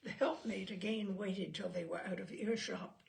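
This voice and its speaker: A woman reading humorous short fiction aloud as an expressive, deliberate and theatrical literary narrator. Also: medium pitch, creaky. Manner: deliberate, theatrical delivery with dialogue acted out. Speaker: reader of humorous short stories.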